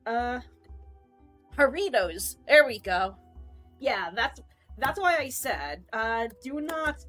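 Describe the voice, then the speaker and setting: Bright, slow-talking playful woman streamer. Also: fairly high pitch. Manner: jokey, playful, self-mocking. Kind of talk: casual rambling chat.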